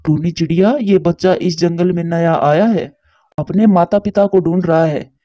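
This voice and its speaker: A man voicing a kindly, calm grown male bird in a cartoon story, speaking in a reassuring, avuncular way. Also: on the high side, normal speed, no echo, dull audio, lightly acted. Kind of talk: Hindi cartoon story character voice.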